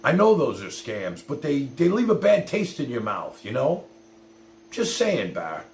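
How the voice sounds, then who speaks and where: A man's voice: medium pitch, huge pitch swings, a little slow. A middle-aged man chatting casually on a livestream, telling personal stories in a blunt, rambling way with some swearing. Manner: blunt, rambling, peppered with swearing and "you know". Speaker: livestream host. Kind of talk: casual chat, personal storytelling to live viewers. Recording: roomy echo with faint hum and noise.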